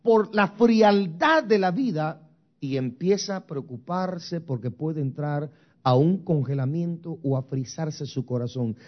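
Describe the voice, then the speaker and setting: A man's voice: a little high, highs cut off. Emphatic Guatemalan-born male preacher. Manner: emphatic preaching with rising and falling intensity. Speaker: pastor. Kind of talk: sermon. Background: soft music.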